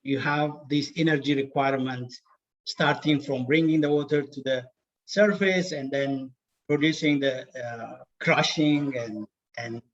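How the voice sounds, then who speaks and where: A man from Ethiopia with an Ethiopian accent giving an earnest, somewhat halting spontaneous comment in an online session. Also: fairly high pitch. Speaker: audience participant, water resource engineer. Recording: distant, dull video call audio.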